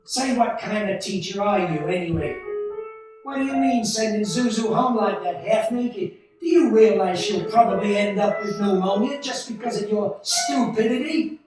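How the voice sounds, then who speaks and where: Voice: medium pitch, moderate pace, music in the background, close to an everyday voice. Desperate family man, acted, in echoing room. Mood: furious. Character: desperate, short-tempered family man at breaking point. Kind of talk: 1940s-style radio play acting. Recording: very echoey room, distant from microphone.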